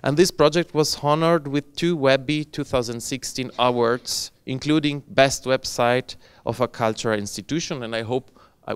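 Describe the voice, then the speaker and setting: Man in his forties, host introduction, slow and careful, smooth clear voice, dry sound. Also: medium pitch, no room sound. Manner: careful, hesitant, many "uh"s. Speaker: conference host introducing speaker. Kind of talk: formal introduction read in English. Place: lecture hall. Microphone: handheld mic.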